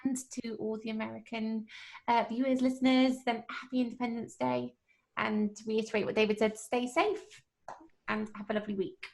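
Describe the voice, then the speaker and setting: Woman, slightly high pitch, noticeable vocal fry, casual podcast guest. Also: level tone, normal speed, rough recording. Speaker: voice actor guest on a live podcast. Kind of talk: casual sign-off remarks.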